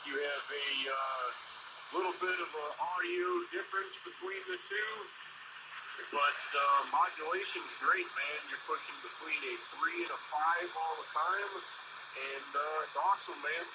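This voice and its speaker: A man's voice: a little high, a little slow. A man chatting casually as a radio operator giving signal reports, heard over a CB radio transmission. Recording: very noisy, static-laden CB radio reception.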